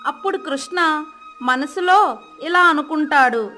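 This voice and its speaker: A woman reads a children's moral story as narrator. Her voice is high and bright, delivered as scripted storytelling with music behind it. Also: animated, measured pace.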